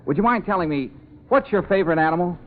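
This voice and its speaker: An actor playing a breezy, smooth-talking fixer who is helpful but slightly exasperated, in an old-time radio comedy. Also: male voice, high voice, expressive, quick pace. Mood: breezy, scheming. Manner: glib, chuckling, smooth-talking. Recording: tinny, noisy vintage radio recording.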